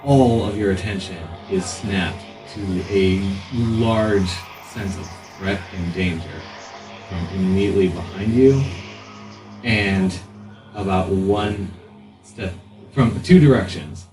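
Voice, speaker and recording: A man's voice: low voice, slow pace. A man improvising narration as game master of a tabletop role-playing game. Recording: roomy, distant mic, faint music underneath.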